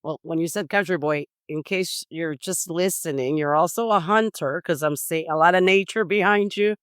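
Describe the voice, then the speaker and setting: A man's voice: a little high, normal speed, a bit bright, clean tone, dry recording, clean studio sound. A man hosting a podcast, asking questions in a casual, conversational way. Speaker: podcast host.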